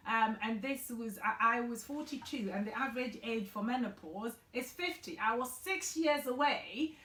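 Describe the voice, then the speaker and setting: A woman's voice: fairly high pitch, a bit bright. A woman in her forties from Ghana, earnestly explaining health issues as a guest in a conversational interview. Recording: echoey room.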